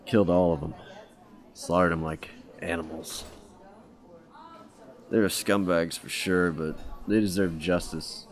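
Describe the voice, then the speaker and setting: Casting: a wisecracking ex-undercover cop, low voice. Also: male voice. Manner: wisecracking, casual. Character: young male detective, cocky ex-undercover cop.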